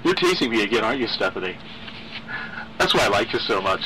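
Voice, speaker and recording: A man voicing a timid, unhinged teenage boy in a story, with a halting, high-pitched stutter. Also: big pitch swings, pushed and bright, slight background noise, rough recording. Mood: giddy, teasing. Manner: halting, repeating words as he stammers.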